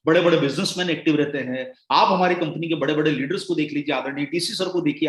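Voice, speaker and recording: A man giving a motivational sales training talk, rousing and calling his listeners friends, his voice swinging between loud and hushed. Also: fairly high pitch, reverberant.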